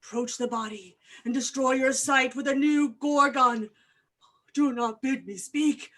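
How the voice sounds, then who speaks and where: A woman's voice: high-pitched, unhurried, a bit bright. A woman acting a frantic, vengeful doctor hunting a murderer in a Shakespearean staged reading, with grand, declamatory delivery. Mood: horrified. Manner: declamatory, archaic diction, grandly dramatic.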